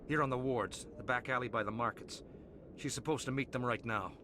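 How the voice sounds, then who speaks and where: An actor playing a shifty, cowardly crime boss in a video game. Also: male voice, medium pitch, fairly quick, close to an everyday voice. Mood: pleading. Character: cowardly, shifty male crime boss, pleading for his life. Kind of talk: video game villain acting. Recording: clearly noisy game ambience behind.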